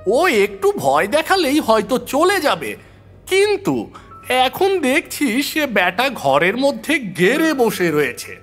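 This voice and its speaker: A man voicing an excitable, exasperated friend pleading for help in an audio horror story, with an animated, complaining, colloquial manner. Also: very high voice, voice pushed up, full character voice. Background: music.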